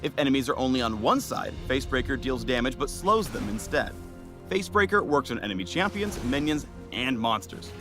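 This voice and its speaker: A man's voice: medium pitch, big pitch swings, moderate pace, over music. A man giving upbeat, playful scripted narration for a game's champion showcase. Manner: upbeat, playful announcer delivery. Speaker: game spotlight narrator.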